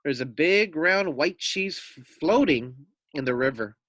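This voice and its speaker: A man's voice: on the high side, expressive, measured pace, fairly bright tone, no room sound, studio quality. A man voicing a clever, sly female sheep in a children's storybook. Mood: coaxing.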